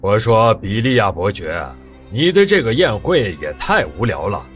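A man voicing a huge, boorish merchant baron around fifty in an audiobook, booming and impatient. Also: very animated, moderate pace, fairly bright tone, faint music behind. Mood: discontented, impatient. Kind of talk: audiobook character dialogue.

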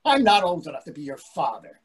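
A man's voice: a little high, big pitch swings, a little fast, husky. A man in his sixties playing an irritable, sarcastic husband in a readers theatre comedy over a video call. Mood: scornful. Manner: sarcastic, exasperated. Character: irritable, indignant older husband dining out.

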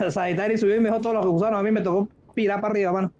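A man's voice: high voice, steady tone, very fast, crowd noise. A man with a Venezuelan accent chatting casually in an online game, slangy and complaining, repeating himself over a dull voice chat. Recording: dull voice chat with music behind. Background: music.

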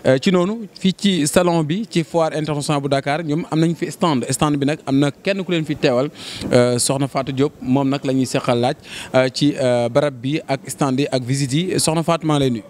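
A man's voice: on the high side, a little fast, a little mellow, a little hiss or hum. Senegalese man hosting a trade-fair interview. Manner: promotional, hesitant fillers. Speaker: reporter and host. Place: exhibition stand indoors. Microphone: handheld.

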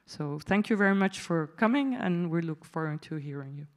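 A woman's voice: low voice, lively intonation, moderate pace, very noisy. A woman host giving a formal introduction of the guest speaker in a large auditorium, thanking him for coming.

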